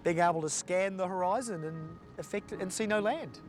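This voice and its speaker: A man's voice: sits high, normal speed. A man who works as a university researcher, talking enthusiastically about his fieldwork. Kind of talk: casual interview to camera. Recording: music under the voice. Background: music.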